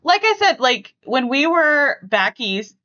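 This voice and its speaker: A woman's voice: sits high, sing-song, slow, pushed and bright, dull audio. A middle-aged American woman hosting a podcast in a casual, chatty, rambling way. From US West Coast. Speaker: podcast host.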